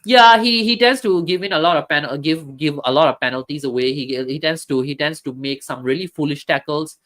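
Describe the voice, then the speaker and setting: A man's voice: a little high, fairly quick, a bit bright. A man hosting a casual football talk stream, chatting with viewers and reading comments, hesitant with many fillers and restarts.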